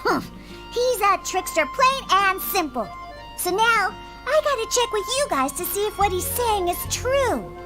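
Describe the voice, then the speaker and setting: A woman voicing a feisty young girl in a fantasy game who scolds drunkards with a pouty, scolding manner. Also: very high voice. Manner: pouty, scolding, quick bursts. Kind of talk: game voice acting.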